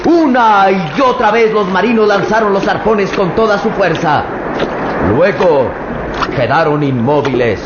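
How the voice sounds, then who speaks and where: High-voiced male radio serial narrator. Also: animated, a little slow. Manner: tense, suspense-building storytelling. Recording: echoey, muffled old radio with loud hiss.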